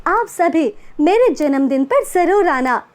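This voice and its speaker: A woman's voice: very high-pitched, expressive, measured pace. A woman doing Payal, a kind poor college girl. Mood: defiant. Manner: earnest, emotional pleading. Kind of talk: storybook character voice.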